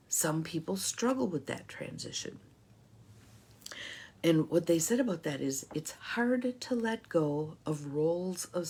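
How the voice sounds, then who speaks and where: Lowish breathy voice, middle-aged lady host, warm encouraging chat to viewers. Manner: reflective, encouraging, greets viewers. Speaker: host, life coach. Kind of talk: live-stream talk.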